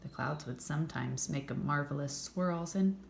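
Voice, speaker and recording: A woman reading a children's story aloud with expressive, dramatic narration and drawn-out emphasis. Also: sits low, gravelly. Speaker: storybook reader. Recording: echoey room, faint background noise.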